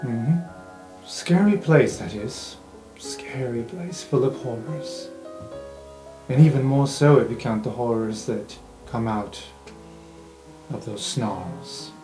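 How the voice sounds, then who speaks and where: A man playing an ancient, evasive professor in a tabletop game, musing in a measured way and trailing off. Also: medium pitch, close to an everyday voice. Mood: grave, musing. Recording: roomy sound under music.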